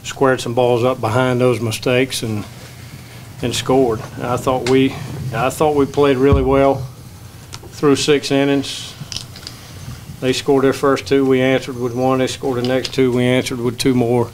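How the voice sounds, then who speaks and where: Older guy coaching baseball, flat steady voice, postgame presser at a table mic, faint hum behind. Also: medium pitch, rough recording. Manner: measured, plain-spoken, many restarts. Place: press room.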